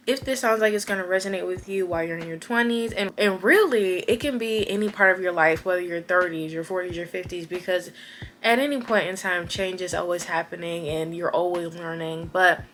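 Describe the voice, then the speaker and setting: A woman, chatting casually to camera as a vlogger, animated and repeating words for emphasis. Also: mid-range voice, a little hiss or hum. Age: 20s.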